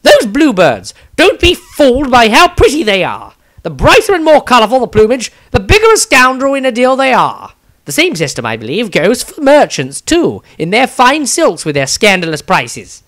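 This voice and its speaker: A man's voice: extremely high pitch, huge pitch swings, moderate pace, fairly bright tone, voice pushed up, full character voice. A man voicing an eccentric, grumbling wizard diarist in a fantasy story, theatrical, rambling and wry. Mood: indignant, chatty. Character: eccentric, grumbling, self-satisfied male wizard adventurer diarist.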